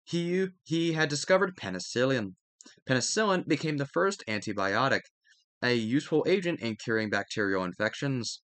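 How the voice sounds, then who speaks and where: A man's voice: mid-range voice, animated, moderate pace, fairly bright tone, no echo. A man reading a textbook aloud as a study-video host, stumbling over words now and then and correcting himself.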